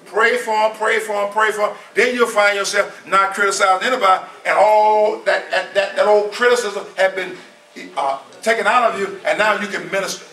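A man's voice: sits high, steady tone, normal speed, bright, forceful tone. Seventy-year-old man preaching in an echoey hall. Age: 70s. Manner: repetitive, emphatic, conversational. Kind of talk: informal sermon. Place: small church hall. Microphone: far camera. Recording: echoey room from a far camera.

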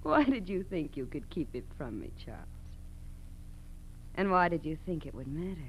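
An actress playing an ambitious, coolly menacing wife of a car thief in a 1940s American radio drama, assertive and pointed. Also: female voice, low voice, sing-song, normal speed. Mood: thrilled. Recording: noisy, humming old radio recording.

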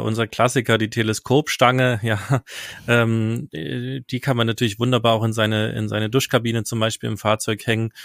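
A man in his thirties co-hosting a podcast, giving practical advice in a relaxed way with many fillers. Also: mid-range voice, gravelly, dry recording, clean studio sound. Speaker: podcast co-host. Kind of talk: casual advice chat. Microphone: headset and studio mic.

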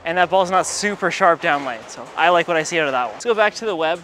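A man's voice: on the high side, animated, quick pace, bright, forceful tone, a little hiss or hum. A man in his thirties gives a casual bowling ball review in a large bowling alley, muttering play-by-play between throws. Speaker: pro shop ball reviewer.

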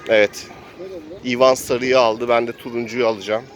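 A man's voice: mid-range voice, little pitch movement, normal speed. A man acting as game master, narrating an improvised role-playing adventure in a dramatic play-by-play storytelling manner. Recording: faint street noise and background voices.

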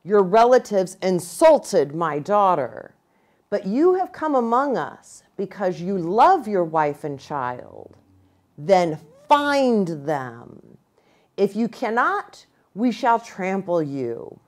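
A woman voicing a stern old buffalo chief in a storybook, proclaiming gravely and deliberately. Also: mid-range voice, very animated, a little mellow. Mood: stern challenge.

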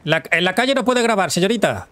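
A man's voice: high-pitched, big pitch swings, quick pace, a bit bright. A man playing a touchy, self-important press chief with a fussy, mock-formal way of talking, in improvised online roleplay. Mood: protective. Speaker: roleplay streamer.

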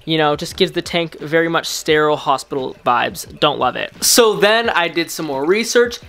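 A man's voice: fairly high pitch, animated, normal speed, creaky, fairly bright tone. A young adult American man chatting casually as an aquarium hobby vlogger, talking to a camera at home. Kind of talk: casual vlog chat and narration to camera. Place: mostly indoors in a home room with fish tanks.

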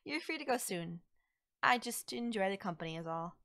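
A woman's voice: medium pitch, animated, creaky, sharp tone, no room sound, clean studio sound. A woman playing a teasing young forest witch in an audio roleplay, coy and soothing as she talks directly to the listener. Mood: breezy, confiding. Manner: coy, soothing, conversational with drawn-out words. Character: playful, teasing young female forest witch, gently reassuring. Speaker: roleplay voice actor.

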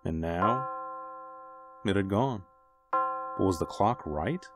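A man's voice: sits low, animated, takes it slowly, music in the background, exaggerated voice. A man narrating an eerie suspense radio play in a dramatic storytelling manner. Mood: ominous.

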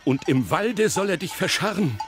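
An actor playing a devoted, anxious royal servant in a fairy-tale radio play, earnest and deferential. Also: male voice, sits high, lively intonation, moderate pace, music in the background. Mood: urgent, alarmed. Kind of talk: German radio drama acting.

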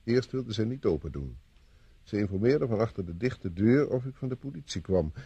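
A self-assured police inspector in a radio play. His voice is mellow and husky, swinging widely in pitch. He probes and commands with a touch of condescension, recorded close and dry. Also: lively intonation, normal speed, no room sound, lo-fi sound. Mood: reading aloud. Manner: commanding, probing, slightly condescending. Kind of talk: Dutch radio play acting.

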